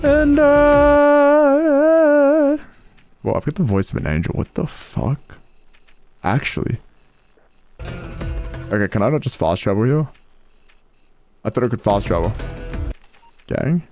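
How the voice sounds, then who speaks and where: A man's voice: high voice, slow, pauses often, a little mellow. A young man giving casual, joking commentary while playing a game, thinking aloud and swearing freely. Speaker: gameplay streamer. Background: game music.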